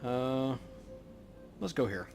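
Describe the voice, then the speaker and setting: A man's voice: mid-range voice, expressive, slow pace, creaky, darkish tone, faint music behind. A man hosting a let's play, giving casual, laid-back gaming commentary as he thinks aloud.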